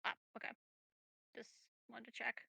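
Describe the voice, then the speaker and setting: A woman's voice: normal speed, pushed and bright, no room sound. A woman playing a tabletop roleplaying game, casually talking through her turn.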